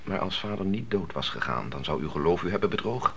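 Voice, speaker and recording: A man's voice: low-pitched, expressive, quick pace, gravelly, slightly rough, exaggerated voice. A man playing an earnest, questioning grown son in a radio play, hesitant and argumentative as he cautiously challenges his devout mother. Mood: reasoning, insistent. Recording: noisy old radio play recording.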